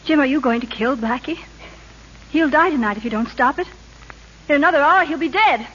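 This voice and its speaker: Politician's wife, dramatic, insistent, indignant, old radio play with faint hiss. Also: female voice, high-pitched, expressive, a little fast, fairly bright tone. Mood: pleading. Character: governor's pleading, passionate, morally indignant wife. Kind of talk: old-time American radio drama acting. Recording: muffled, noisy vintage radio recording.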